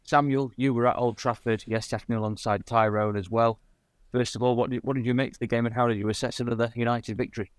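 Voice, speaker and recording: An English man hosting a football podcast, introducing guests and asking questions in smooth, prepared-sounding links. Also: medium pitch, fairly even intonation, quick pace, dry recording. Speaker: podcast host.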